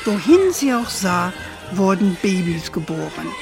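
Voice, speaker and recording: A woman playing a fond older grandmother who tells stories at an unhurried pace in a children's radio play. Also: fairly low pitch, very animated, vocal fry, over music, heavily acted. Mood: storytelling. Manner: unhurried, fond, sprinkles English endearments.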